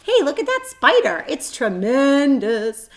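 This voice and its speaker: Woman voicing an excitable, high-pitched mischievous farm boy. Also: unhurried, exaggerated voice. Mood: excited. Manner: boisterous, yelling, boastful.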